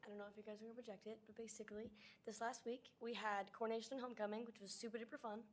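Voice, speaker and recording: A teenage girl giving a student report to a school board, with a hoarse, raspy voice. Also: level tone, very fast. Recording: faint rumbling noise.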